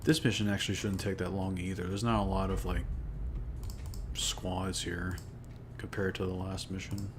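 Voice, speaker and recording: Deep-voiced tired-sounding male streamer. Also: normal speed, background noise. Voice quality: deep, low voice. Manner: mumbles to himself, sounds tired and flat. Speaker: modding streamer. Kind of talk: casual live-stream chat while modding. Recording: music playing behind.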